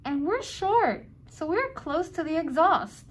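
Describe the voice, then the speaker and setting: High, slow, expressive woman reader. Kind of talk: reading speech bubbles in a picture book.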